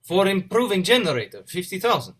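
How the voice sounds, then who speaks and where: A man plays an earnest immigrant engineer in a stage drama, in a slightly high male voice with an Eastern European accent. He is polite and proud, speaking formally in short clipped phrases with missing articles. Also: big pitch swings, fairly quick, a bit bright, full character voice. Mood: insistent. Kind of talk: dramatic play reading. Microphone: video call.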